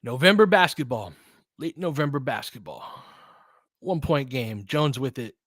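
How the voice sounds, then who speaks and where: A man's voice: medium pitch, animated, moderate pace, fairly bright tone, no echo, studio quality. Man calling live basketball, informal and opinionated. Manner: opinionated, conversational, clipped phrases. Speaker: host, live play-by-play commentator for fans.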